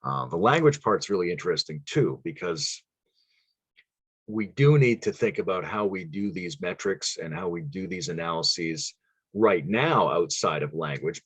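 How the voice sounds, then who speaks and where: A man's voice: sits low, breathy edge, no echo. A man talking as a guest on a podcast, spinning long theoretical ideas in a loose, musing way, chuckling now and then. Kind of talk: casual conversation.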